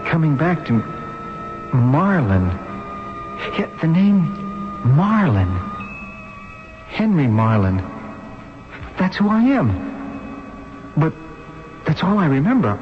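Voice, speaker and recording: An actor playing a dazed, halting spirit who wonders and drifts, in a 1970s radio drama. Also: male voice, fairly high pitch, very animated, big-room sound, soft background music. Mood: dazed, searching. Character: dazed, drifting male spirit of amnesiac man. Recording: dull vintage radio broadcast.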